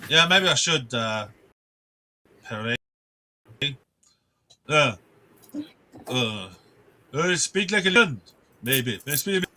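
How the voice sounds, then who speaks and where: A man's voice: mid-range voice, expressive, very slow, long pauses, pushed and bright. A man ranting on a live stream, rambling and restarting his sentences, swearing and breaking off to greet viewers in the chat.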